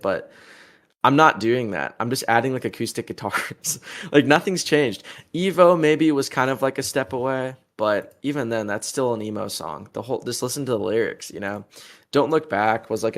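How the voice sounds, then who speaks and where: A man in his twenties, a musician answering an interview in a rambling, heated way, full of fillers and crude insults. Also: medium pitch. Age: 20s.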